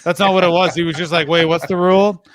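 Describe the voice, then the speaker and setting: A man from Canada telling stories in a casual chat, animated and acting out what people said. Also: high voice, fast, dry recording, clean studio sound. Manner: animated storytelling, swearing, acts out quotes.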